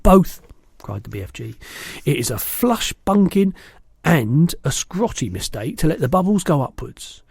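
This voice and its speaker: A man acts out a big, friendly old storybook giant with a gravelly, swooping voice. He is exuberant and quick to indignation, punching out his mangled words with great emphasis. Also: fairly high pitch, very animated, a little mellow, no room sound, clean studio sound, heavily acted. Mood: indignant, curious.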